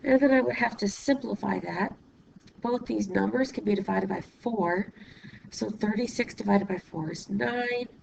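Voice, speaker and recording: A woman math teacher, hoarse and losing her voice from illness, patiently explaining a lesson step by step while writing, often checking in with "okay?". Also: medium pitch, background noise. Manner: writes while talking, pausing mid-sentence. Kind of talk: recorded classroom lesson.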